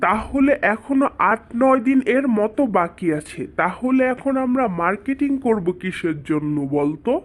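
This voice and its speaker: A fairly high-pitched cartoon father, acted by a woman, with a Bangladeshi accent. He is brusque and evasive, grumbling and teasing as he waves the child away. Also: animated, moderate pace, hardly any pauses, close to an everyday voice. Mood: dismissive. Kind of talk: comic cartoon dialogue.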